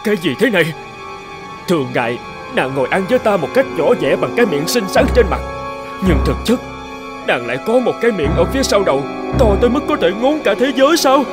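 A pompous, stingy lord in a cartoon folktale. His voice is fairly high and swings widely in pitch as he exclaims. Music plays behind him. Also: sing-song, a little fast. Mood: shocked, furious. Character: stingy, suspicious, hot-tempered rich lord. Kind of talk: animated folktale acting.

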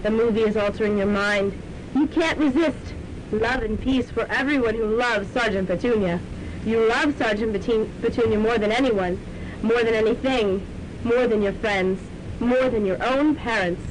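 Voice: normal speed, close to an everyday voice. An actor playing a bossy, scheming female police sergeant villain in a student radio drama, with a commanding, gloating delivery. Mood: commanding, coaxing. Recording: noisy amateur radio drama tape, rumbling.

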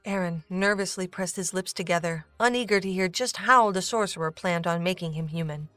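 Low-voiced woman narrating a story. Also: brisk, fairly bright tone, no room sound. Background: music.

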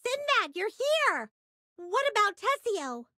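A tiny, cute bird-like game companion. The voice is squeaky and very high, bright and bouncy in pitch. It sounds childlike and cheerful. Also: female voice, sing-song, takes it slowly, no echo, clean studio sound, heavily acted. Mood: excitable. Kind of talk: video game voice acting.

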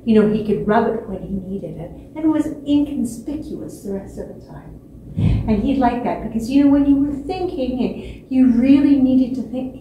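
Woman in her sixties, children's storyteller, expressive pauses, soft-edged higher voice, echoey stage, distant mic. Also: a little hiss or hum. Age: 60s. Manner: expressive, with dramatic pauses and repetition. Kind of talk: animated children's storytelling from memory. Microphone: distant camera microphone.

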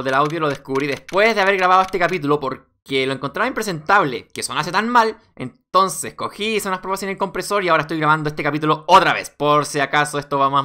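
A man's voice: mid-range voice, bright, forceful tone, clear, resonant tone. A man with a Chilean accent giving casual let's play commentary as he records a playthrough.

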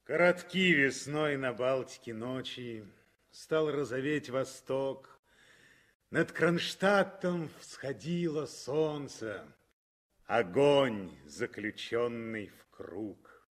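A man's voice: mid-range voice, animated, slow. A man as the lyrical storyteller narrating a 1960s Soviet radio play in dramatic, scripted style. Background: music.

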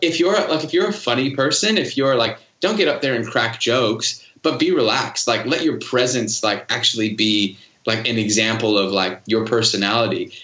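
A man's voice: mid-range voice, sharp tone, roomy sound. A man guesting on a podcast interview, talking casually with many fillers and easy laughter about leading worship.

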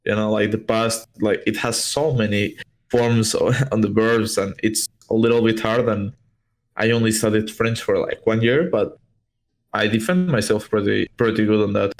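Young man, low-pitched. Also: moderate pace, low-quality recording. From Portugal. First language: Spanish. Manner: rambling, many fillers and restarts. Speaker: interview guest, esports player. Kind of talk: casual interview over video call. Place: small room at home. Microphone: headset on video call.

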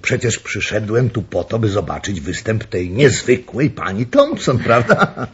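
A polite, formal gentleman in a radio play. His voice is fairly low and lively, on a muffled recording with faint noise. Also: a little slow, slightly rough, full character voice. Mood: jovial. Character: courteous, genial gentleman dinner guest.

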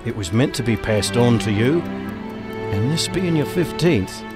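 Male actor as folksy farmer dad, unhurried, animated pitch, audio drama, loud score behind. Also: over music, lightly acted. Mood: warm, proud. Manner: folksy, hearty, rustic turns of phrase. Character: warm, hardworking 19th-century farmer father. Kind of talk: family audio drama acting.

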